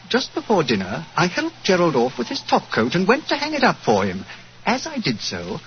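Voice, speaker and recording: A worried, earnest gentleman with a slightly raised pitch. His delivery swings widely with urgency. It is an old radio broadcast recording that sounds muffled, with faint hiss. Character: anxious male client, cousin in a troubled household. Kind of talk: 1940s radio acting.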